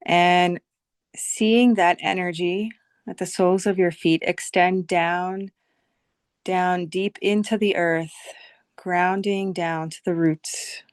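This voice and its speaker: A woman leading a guided visualization meditation as a teacher, speaking soothingly in short phrases with long, unhurried pauses. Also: lowish voice, fairly even intonation, clear, resonant tone, no room sound.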